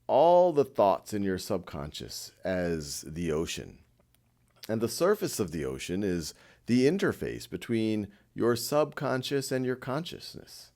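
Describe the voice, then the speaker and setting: Male meditation guide: gentle and reassuring, unhurried delivery with a lively, moving pitch.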